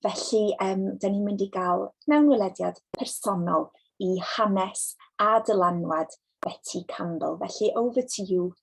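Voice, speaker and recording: Husky, gravelly-voiced Welsh woman hosting. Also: medium pitch, normal speed, low-quality recording. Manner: warm introductions with many fillers. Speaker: event host. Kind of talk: hosting an online event.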